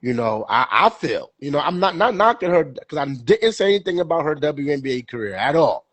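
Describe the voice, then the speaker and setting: A man's voice: fairly high pitch, big pitch swings, fairly quick, a bit bright, breathy edge, no room sound. A young man hosting a podcast, chatting casually about sports, stuffy with a cold and rambling with many fillers and repeats. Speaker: podcast co-host.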